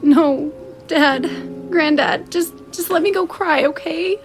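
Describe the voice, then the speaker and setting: Distraught young bride, played by an actress, high voice, children's audio drama, prominent music underneath. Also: female voice, takes it slowly, full character voice. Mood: tearful. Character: distraught young bride confiding in her granddad.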